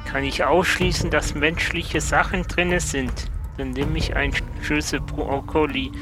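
A man's voice: a little high, fairly even intonation, measured pace, music in the background, lightly acted. A man playing a big, wary adventurer in an improvised audio drama, blunt and laconic in his delivery. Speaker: listener guest player.

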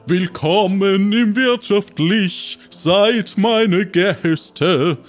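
Guy doing a high oily innkeeper, drawn-out words, servile and greedy, tabletop game, music behind. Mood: oily, welcoming. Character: chuckling, oily, money-minded male tavern keeper. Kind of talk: improvised tabletop roleplay. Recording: music under the voice.

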